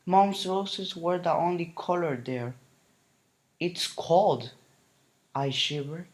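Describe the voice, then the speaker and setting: A man reading a children's picture book aloud in a solemn, deliberate way, with dramatic pauses. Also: on the high side. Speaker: storybook reader.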